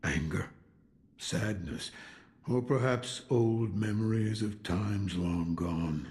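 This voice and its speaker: An actor playing a gruff, world-weary old sculptor in a video game, brooding and wry in manner. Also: male voice, on the low side, slow, a little mellow. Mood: brooding, cryptic. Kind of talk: video game cutscene acting.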